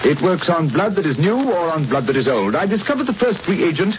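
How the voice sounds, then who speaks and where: An actor playing a brilliant, self-assured English gentleman detective in a 1940s radio drama, speaking in a dry, courteous, lecturing way. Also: on the high side, big pitch swings, brisk. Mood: confident, lecturing. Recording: muffled, noisy old-time radio recording.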